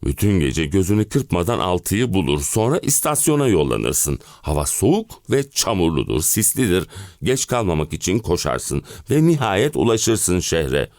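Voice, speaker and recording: Male actor doing a fed-up middle-aged husband, melodramatic stage rant, deep voice that swings all over, self-pitying. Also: very animated, moderate pace, a little mellow, dry recording, clean studio sound. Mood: aggrieved complaint. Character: exasperated, hen-pecked middle-aged husband ranting about summer-house misery. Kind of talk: theatrical one-man play monologue.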